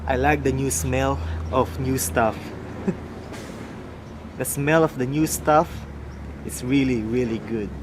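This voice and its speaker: Slow, mumbling Filipino man. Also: medium pitch, fairly even intonation, background noise. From Philippines. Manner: slow, mumbling, musing aloud. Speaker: motorcycle vlogger. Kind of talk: casual product unboxing vlog.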